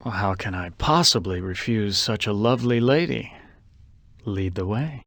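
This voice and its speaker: A man's voice: fairly low pitch, moderate pace, a bit bright, no echo, clean studio sound. A man playing a suave, vain, anguished adventurer in a gothic horror video game, speaking in a refined, theatrical way. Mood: somber, bargaining. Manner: theatrical, refined, slightly haughty.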